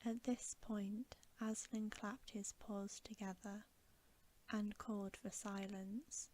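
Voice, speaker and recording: Woman doing asmr story reading, soft breathy whisper, super slow n mellow. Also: medium pitch, level tone. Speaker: ASMR reader.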